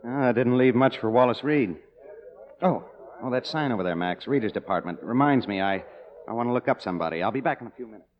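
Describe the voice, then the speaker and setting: An actor playing a wry, world-weary young screenwriter in an old noir radio drama. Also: male voice, mid-range voice, expressive, fast talker, natural voice. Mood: casual. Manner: wry, sardonic. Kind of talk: 1950s radio drama acting with noir narration. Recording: muffled, noisy old radio recording.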